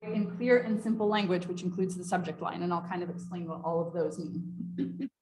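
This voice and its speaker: A woman's voice: lowish voice, fairly even intonation, normal speed, darkish tone, low-quality recording. A woman presenting a training session in an explanatory, informal way, part prepared and part off the cuff. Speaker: workshop presenter. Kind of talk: semi-scripted training presentation with informal asides.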